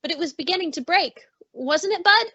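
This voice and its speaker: A woman's voice: very high-pitched, animated, a little fast, sharp tone, dry recording, dull audio, heavily acted. A woman acting as a doting, emotional mother in an old-time radio suspense play, fussing tenderly. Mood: fond, fussing. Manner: fussing, cooing endearments.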